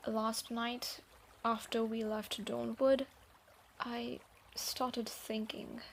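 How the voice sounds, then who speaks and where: A woman playing a guarded, troubled thief in a fantasy audio drama, speaking haltingly and reluctantly softening. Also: fairly high pitch, creaky, a little hiss or hum, full character voice. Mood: troubled, confessing. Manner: hesitant, sighing, halting delivery. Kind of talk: scripted roleplay audio drama.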